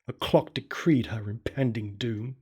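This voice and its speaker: Husky man narrating a horror story. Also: mid-range voice, measured pace, a little mellow.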